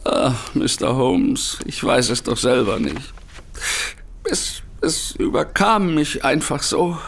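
An actor playing a panicky, guilt-ridden hotel manager turned thief in an audio drama, agitated, halting and pleading. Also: male voice, medium pitch, big pitch swings, gravelly, slightly rough, heavily acted. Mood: confessing, shaken. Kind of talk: German audio drama acting.

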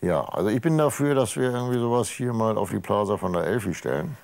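A middle-aged man hosts a studio talk show, teasing his guests in a chatty, offhand way. His pitch rises and falls a lot as he jokes. He speaks into a lapel mic in a studio. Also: lively intonation, fast, vocal fry, darkish tone. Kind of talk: joking casual studio chat.

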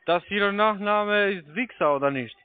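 A man's voice: high voice, normal speed, smooth, no room sound. A man with a foreign accent talking on the phone in a taunting, insulting manner. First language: not German. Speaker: call centre scam caller. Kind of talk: phone conversation. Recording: tinny dry phone line.

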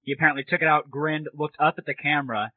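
A man's voice: a little high, fairly even intonation, fast. A sarcastic, foul-mouthed man hosting an irreverent comedy show with prank calls. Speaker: show host. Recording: dull lo-fi old internet radio audio.

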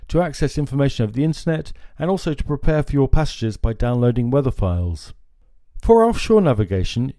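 Man with a lively, warm voice. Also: medium pitch, dry recording, studio quality. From France. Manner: steady, informative, gestures at screens. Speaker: marine electronics presenter. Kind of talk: scripted product explainer. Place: small indoor showroom. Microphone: lapel mic.

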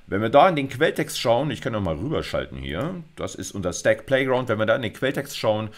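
A man's voice: mid-range voice, very animated, fairly quick. A middle-aged man giving an explanatory university video lecture in a conversational way, often checking in with short tags. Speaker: university computer science lecturer.

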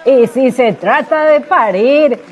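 An actress playing a wry village wife who makes toasts at a party in a radio drama. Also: female voice, high-pitched, lively intonation, slow, a little mellow, soft background music, some chatter behind.

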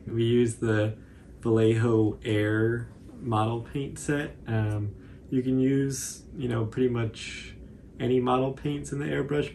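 Creaky-voiced young man. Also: lowish voice, a little mellow, slight background noise. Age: 20s. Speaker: cosplay tutorial co-host. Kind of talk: casual unscripted tutorial commentary. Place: indoor living room, seated on couch.

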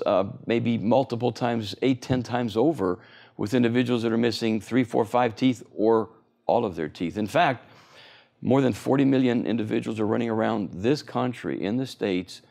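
Gravelly-voiced older man explaining. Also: mid-range voice, a little mellow. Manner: enthusiastic, explanatory, frequent fillers. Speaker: oral surgeon guest. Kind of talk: TV interview. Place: TV studio.

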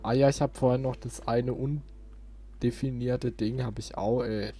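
A man's voice: medium pitch, fairly even intonation, measured pace, warm tone, husky. A man casually commentating as he plays a video game. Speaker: let's player.

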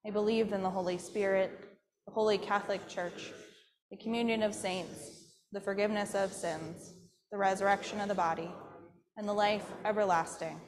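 Woman, pastor, steady measured recitation, church sanctuary, long reverb. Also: medium pitch, crowd noise. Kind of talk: leading a spoken creed in worship. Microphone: far camera.

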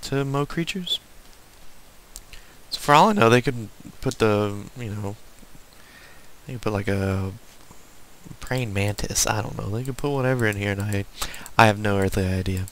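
A man's voice: fairly low pitch, normal speed, a bit bright, a little hiss or hum, rough recording. A man with a Southern US accent giving casual, unscripted game commentary, rambling and muttering to himself as he plays. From southern United States. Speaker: gaming video host.

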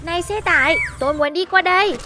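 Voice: extremely high pitch, quick pace, over music. A performer voicing a playful, eager young lion cub in a children's cartoon dub, with simple exclaiming lines.

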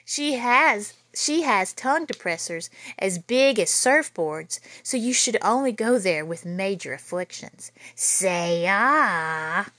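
A woman in her thirties reading a children's picture book aloud in a small bedroom, with lively storytelling and playful asides, recorded on a phone camera nearby. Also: medium pitch, slow pace, few pauses, no room sound. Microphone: phone camera held nearby.

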